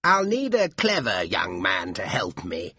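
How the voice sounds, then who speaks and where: Man doing a hearty older uncle, bright, sing-song, genial, husky. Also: low voice, huge pitch swings, measured pace, dry recording, exaggerated voice.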